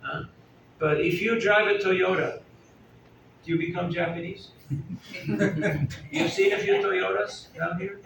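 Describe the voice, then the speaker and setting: Man, sermon, fairly high voice, conversational, asks the crowd questions, distant mic, faint background noise. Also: fairly even intonation, a little slow, creaky, pauses often, echoey room. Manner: chatty, asks the audience questions and jokes. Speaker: spiritual teacher giving a talk. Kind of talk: conversational sermon to a live audience. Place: indoor hall at a festival gathering.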